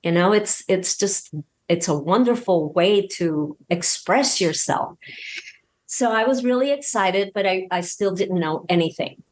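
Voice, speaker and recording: A woman talking casually as an interview guest, excited and halting, often repeating words as she goes. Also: medium pitch. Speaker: podcast guest, audio drama creator.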